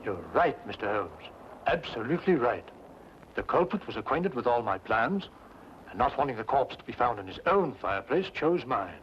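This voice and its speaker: An actor playing a fussy, flustered, indignant English gentleman in an old-time radio drama, with a British accent and a prim, sputtering delivery. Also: medium pitch, very animated, normal speed, natural voice. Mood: puzzled, eager. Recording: dull, noisy old radio recording.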